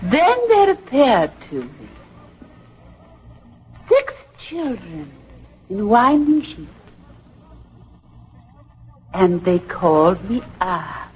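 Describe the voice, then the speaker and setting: An actress playing a fearful, haunted matron who gives halting, dramatic testimony in an old radio drama about a witch trial. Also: mid-range voice, sing-song, a little mellow, breathy edge, exaggerated voice, poor audio. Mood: haunted, intense. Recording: old radio recording with faint noise.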